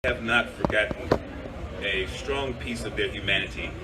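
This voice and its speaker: A man speaking earnestly and in a measured way as he presents and reads poetry at a live event. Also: lowish voice. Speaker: poetry reader, radio host. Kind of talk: spoken poetry reading with informal introductions. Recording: distant mic, clear background noise.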